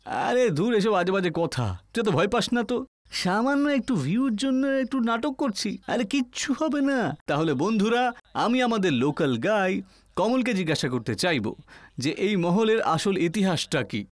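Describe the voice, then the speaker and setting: A man voicing an excitable, reckless young ghost-hunting vlogger with a breezy, eager manner in an acted horror story. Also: sits high, normal speed, dry recording. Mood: breezy, dismissive. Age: young adult. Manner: breezy, eager, dismissive of fear.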